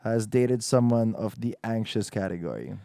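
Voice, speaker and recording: A young man in his twenties hosts a relaxed podcast conversation. His voice sits low and dark, and the dry, close sound carries no room echo. He rambles loosely and swears freely. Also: normal speed, gravelly. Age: 20s. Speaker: podcast host. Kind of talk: casual podcast chat.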